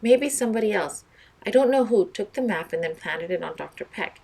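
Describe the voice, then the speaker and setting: A woman's voice: mid-range voice, lively intonation, fairly quick, vocal fry, mellow, slightly rough, recorded from a distance, pitched above the performer's own voice. Young girl detective for a children's mystery, read by a woman; earnest, logical, natural delivery. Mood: reasoning. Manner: earnest, reasoning aloud. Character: thoughtful, determined girl detective.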